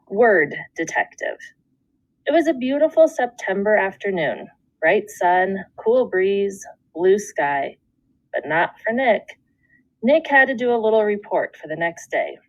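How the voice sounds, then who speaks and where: Expressive woman reading a story aloud. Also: medium pitch, no room sound, low-quality recording. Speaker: second grade teacher. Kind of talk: children's book read-aloud.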